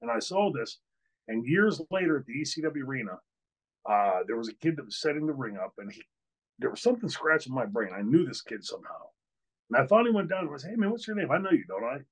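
A man's voice: mid-range voice, big pitch swings, quick pace, breathy edge, poor audio. An older man, host and former wrestler, telling a candid story on a video call from a hotel room, with many fillers and restarts. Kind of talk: storytelling in an interview. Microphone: video call, webcam.

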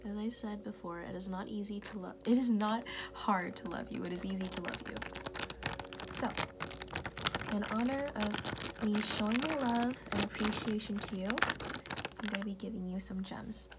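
A woman speaking in a soft, breathy, often unvoiced whisper, tenderly reassuring the listener in an intimate ASMR roleplay. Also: medium pitch, normal speed, very noisy. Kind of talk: ASMR personal-attention roleplay, comforting affirmations. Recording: music playing behind the voice. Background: music.

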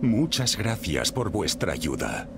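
A man's voice: low-pitched, huge pitch swings, takes it slowly, creaky, noisy background, exaggerated voice. An actor playing a kindly, stately middle-aged king in a video game, with a Castilian Spanish accent. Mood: solemn, caring. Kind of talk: video game cutscene acting. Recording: behind loud game music.

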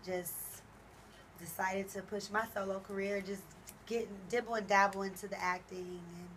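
Young woman, singer-radio host type, relaxed interview talk, faint background hiss. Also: medium pitch, normal speed, rough recording. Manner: relaxed, plenty of fillers, agreeable. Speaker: recording artist and radio personality. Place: small radio studio. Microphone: studio boom mic.

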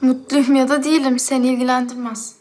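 Casting: actress as prickly, independent young woman, higher-pitched voice, exasperated and confrontational, naturalistic television drama. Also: flat delivery, fairly quick, natural voice. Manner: confrontational, sharp questions.

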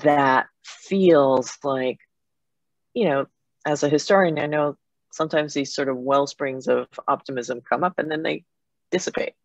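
A middle-aged woman from Japan giving reflective, halting, conversational answers as an interview guest on a video call. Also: deep voice, no echo. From Japan, with years in Germany and Italy. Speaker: interview guest, foundation president. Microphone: computer mic on a video call. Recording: dull video-call audio.

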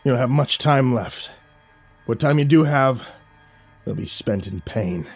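A man voicing a cruel, crude brute who taunts in a low, quiet sneer. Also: animated, a little slow, husky, faint music behind.

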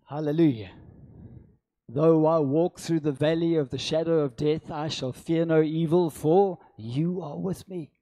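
A man's voice: on the high side, steady tone, a little slow, warm tone. A middle-aged man preaching a sermon, emphatic, repeating short phrases and drawing out the stressed words, speaking into a handheld microphone. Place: church hall with a seated congregation. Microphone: handheld microphone on stage.

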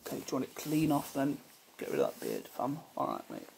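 Chilled roadman barber, played by a young man, ASMR roleplay: hushed, slangy, heavy vocal fry, loud background hiss. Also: medium pitch, huge pitch swings, fast, exaggerated voice. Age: young adult. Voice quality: creaky, hushed. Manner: laid-back, slangy street talk. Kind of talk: ASMR roleplay, softly spoken and whispered. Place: small living room or bedroom indoors. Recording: noisy hissy home recording, clicks.

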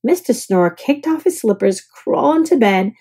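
A woman's voice: on the high side, lively intonation, normal speed, darkish tone, husky. A woman storyteller narrating a children's picture book aloud.

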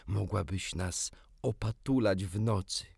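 A man's voice: on the low side, measured pace, creaky, darkish tone, slightly rough, no room sound, clean studio sound, heavily acted. A man voicing an eager, cunning boy in a storybook, with a sly, persuasive manner. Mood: sly coaxing.

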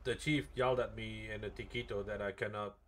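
A man's voice: on the low side, steady tone, brisk, a bit bright. Blunt, sweary man over noisy game ambience. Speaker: live streamer playing a roleplay game. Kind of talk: casual in-game roleplay banter. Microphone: headset.

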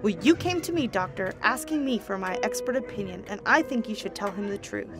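A woman's voice: mid-range voice, lively intonation, a little fast, natural voice. Woman acting an earnest lady doctor over music. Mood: concerned. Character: principled, concerned female doctor, insistent yet tender. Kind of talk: audio drama acting. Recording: music under the voice.

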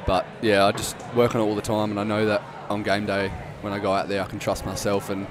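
Low flat-voiced young Australian footballer. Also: male voice, fast. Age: young adult. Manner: low-key, flat answers. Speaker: footballer guest. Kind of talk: post-match interview. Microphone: headset mic. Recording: noisy room behind.

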